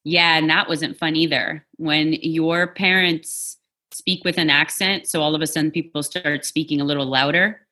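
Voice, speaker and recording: A woman's voice: low voice, steady tone, bright, forceful tone. A woman who immigrated from Poland and grew up in New York, earnestly talking through her identity as a podcast guest. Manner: thoughtful, earnest, measured. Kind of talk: casual interview chat.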